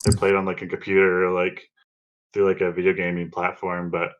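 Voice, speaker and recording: Man, deep flat voice, noncommittal casual co-host, slightly lo-fi recording. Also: brisk. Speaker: podcast co-host. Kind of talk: casual podcast chat. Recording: slightly dull, lo-fi microphone sound.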